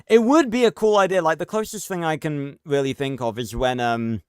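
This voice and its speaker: A man's voice: fairly high pitch, moderate pace, clean tone, no echo. Man rambling casually, clean dry voice. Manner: rambling, sweary. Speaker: reaction streamer. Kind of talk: casual livestream reaction commentary.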